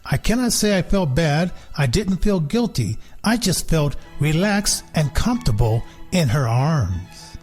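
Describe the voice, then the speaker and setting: A man reading a children's storybook as a sly, contented big house cat, in a measured, deliberate storytelling way. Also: on the high side, big pitch swings, a little mellow, soft background music.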